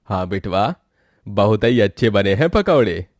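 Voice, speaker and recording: A man's voice: fairly low pitch, very animated, measured pace, no room sound, studio quality. A man playing an elderly, homely, talkative village father with rustic fatherly speech in a cartoon story. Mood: pleased.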